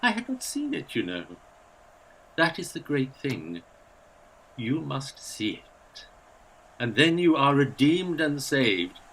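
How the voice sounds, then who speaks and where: An actor playing a meek, kindly old English rector in a radio play, hesitant, apologetic and haunted. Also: male voice, medium pitch, very animated, slow, long pauses, fairly bright tone, breathy edge, full character voice, rough recording. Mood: gentle, troubled. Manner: hesitant, apologetic, nervously chuckling. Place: actor's home.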